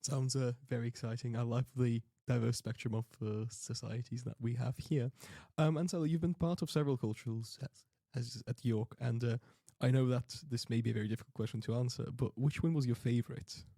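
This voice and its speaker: A man's voice: medium pitch, fairly even intonation, fairly quick, creaky, few pauses, warm tone, no echo, clean studio sound. A young man hosting a student podcast, reading scripted links and asking questions with some hesitant restarts. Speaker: podcast host.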